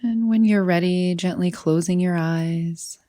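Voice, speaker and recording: A woman leading a guided meditation in a soothing, unhurried manner, often trailing off into breathy softness. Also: lowish voice.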